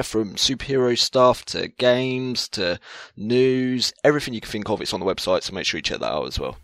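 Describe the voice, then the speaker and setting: Lively-toned teasing male co-host. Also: mid-range voice, no room sound, clean studio sound. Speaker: podcast co-host. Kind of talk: casual group podcast chat.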